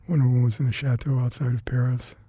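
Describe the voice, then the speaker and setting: An older man with Hungarian as his first language, recalling past work in an oral history interview, halting and reflective with many fillers and restarts. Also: mid-range voice, flat delivery, warm tone, breathy edge, no echo. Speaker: interviewee, retired scientist.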